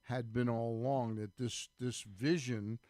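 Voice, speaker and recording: A man's voice: medium pitch, fairly even intonation, measured pace, dark tone, no room sound. A man giving his views as a commentator in a casual radio studio discussion.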